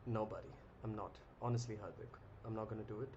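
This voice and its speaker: A young man hosting a live trading stream, chatting casually with viewers in a relaxed, rambling way with many ums and restarts. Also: fairly low pitch, fast. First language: English. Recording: muffled lo-fi audio with clear noise.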